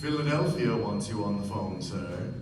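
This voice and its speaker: A man's voice: fairly low pitch, expressive, moderate pace, background noise, lightly acted. A man playing a formal, deferential butler in a stage radio play. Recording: echoey stage, distant mic, music behind.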